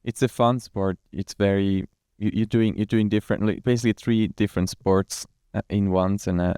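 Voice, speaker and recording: A man from Switzerland, answering interview questions in a relaxed, hesitant way. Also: lowish voice, moderate pace, clean tone, no room sound, studio quality. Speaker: guest, venture partner.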